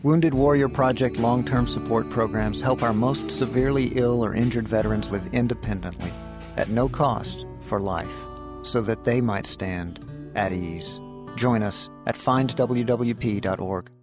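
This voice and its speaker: A man delivering a charity ad in a solemn, measured read. His voice sits at an ordinary middle pitch. Clear guitar music plays underneath him. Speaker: ad announcer. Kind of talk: earnest charity ad voice-over. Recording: voice-over with music bed.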